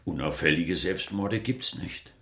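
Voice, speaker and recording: An actor playing a learned, principled East German museum curator in a conversational radio drama, in a roomy interior. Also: male voice, deep voice, unhurried, fairly bright tone. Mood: musing. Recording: roomy, reverberant interior sound.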